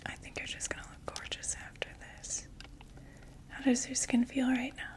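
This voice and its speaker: A woman whispering a gentle, soothing ASMR roleplay as a friendly makeup saleswoman, often repeating words softly. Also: sits high, monotone, moderate pace. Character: friendly female vampire door-to-door makeup saleswoman.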